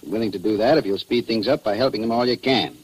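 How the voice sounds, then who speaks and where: An American actor playing a shrewd, blunt police chief in 1940s radio style, terse and folksy. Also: male voice, lowish voice, fast talker, slightly rough, poor audio. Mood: firm, probing. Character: shrewd, blunt small-town police chief questioning suspects.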